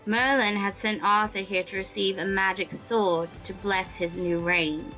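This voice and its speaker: A woman's voice: medium pitch, fairly even intonation, a little slow, pushed and bright, soft background music, poor audio. A woman playing an earnest, determined noblewoman in a radio play, speaking seriously and urgently. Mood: grave, urgent. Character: earnest, determined noblewoman seeking revenge and alliance.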